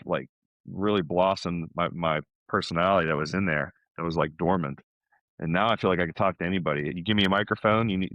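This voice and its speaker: Man, deep voice, dry close sound, informal podcast chat, talkative. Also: a bit bright, dry recording, lo-fi sound. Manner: chatty, informal, peppers talk with "man" and "you know". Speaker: podcast guest. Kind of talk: casual conversational podcast talk.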